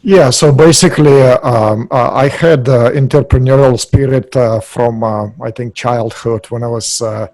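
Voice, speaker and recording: A middle-aged man with a Russian accent, a podcast guest, talking haltingly with many hesitations. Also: mid-range voice, steady tone, a little mellow, no room sound, poor audio. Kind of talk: podcast interview.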